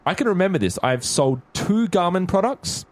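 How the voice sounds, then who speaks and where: A man's voice: high-pitched, big pitch swings, moderate pace. A man chatting casually as a podcast panelist, with some ambient noise in the recording. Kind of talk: casual chat.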